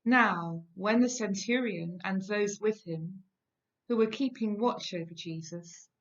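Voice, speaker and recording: A middle-aged Englishwoman reads scripture aloud with solemn care. Her pace is slow and deliberate, at a medium pitch. The sound is slightly dull, as from a webcam in a home kitchen. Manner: measured, deliberate phrasing. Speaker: lay scripture reader. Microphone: webcam at about arm's length.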